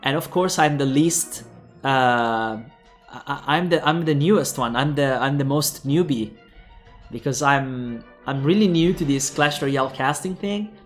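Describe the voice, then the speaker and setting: A man's voice: mid-range voice, measured pace, faint music behind. A young man with an Italian accent, casting an esports tournament in English and rambling with lots of fillers and restarts. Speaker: esports caster. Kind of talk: esports commentary and sign-off.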